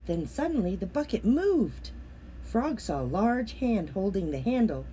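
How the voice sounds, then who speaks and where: A woman narrates a children's story expressively. Her delivery is slow and her tone is soft and mellow, with faint noise in the background. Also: mid-range voice, big pitch swings. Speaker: storybook reader.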